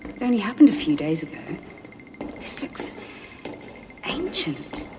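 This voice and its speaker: A woman's voice: mid-range voice, wildly swooping pitch, a little slow, whispered. An actress playing an earnest, uneasy female Time Lord who is no soldier, hesitant and questioning, in an audio drama. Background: faint background music.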